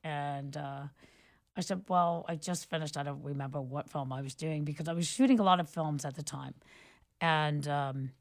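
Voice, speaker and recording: Low-voiced woman telling stories at length. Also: moderate pace. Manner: rambling, frequent restarts and "you know". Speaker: guest, photographer and filmmaker. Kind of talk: relaxed conversational storytelling.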